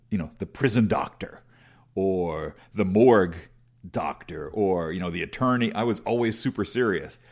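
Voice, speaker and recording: A middle-aged man with a big voice, telling rambling, self-deprecating stories as an interview guest with many restarts. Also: lowish voice. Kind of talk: casual conversational storytelling.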